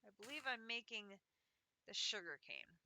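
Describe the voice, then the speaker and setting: Congested woman streamer with a bright voice. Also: fairly high pitch, very animated, normal speed, no room sound. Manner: chatty, walks viewers through her progress. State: congested from allergies. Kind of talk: casual live game commentary.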